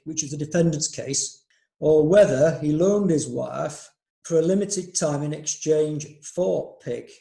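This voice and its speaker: An actor playing a deferential court clerk who hesitates before bad news. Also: male voice, on the high side, vocal fry, warm tone, reverberant. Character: male court clerk, dutiful. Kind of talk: amateur audio drama acting.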